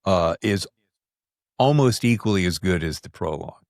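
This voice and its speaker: A man's voice: sits low, expressive, normal speed, a little mellow, dry recording, studio quality. A man hosting a podcast, talking in a chatty, informal way as he interviews a guest about books. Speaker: podcast host. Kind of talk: casual conversational book interview.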